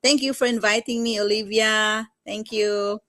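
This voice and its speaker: A woman from the Philippines with a Filipino accent, co-hosting a live video call and greeting viewers in a measured, welcoming way. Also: medium pitch, fairly even intonation, fairly bright tone. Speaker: co-host.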